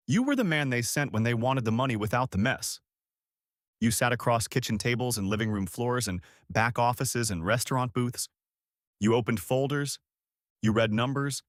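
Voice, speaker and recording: Dry, measured male storyteller. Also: mid-range voice, a bit bright, no echo. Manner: measured, clipped short sentences. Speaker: narrator. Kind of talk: scripted second-person storytelling.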